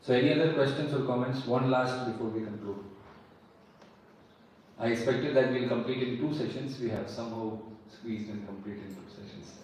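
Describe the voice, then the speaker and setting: A man's voice: medium pitch, normal speed, a little mellow, slight background noise. A man giving a lecture on history and archaeology and answering audience questions, explaining patiently and repeating key points. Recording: echoey hall, mic far off, faint ambience.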